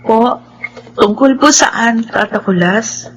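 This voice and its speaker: A woman's voice: on the high side, takes it slowly, slight background noise, poor audio. An actress in a Filipino radio drama playing a troubled, lonely young woman who is needy and easily tearful. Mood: meek, pleading.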